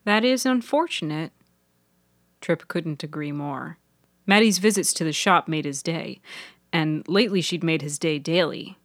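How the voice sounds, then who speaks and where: A woman reading scripted fiction as narrator, measured and articulate. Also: low voice, a bit bright, dry recording, clean studio sound. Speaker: author and narrator.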